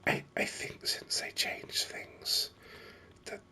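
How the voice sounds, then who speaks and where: A man whispering breathy, unhurried ASMR commentary over a video game, musing aloud close to the microphone. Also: pushed and bright.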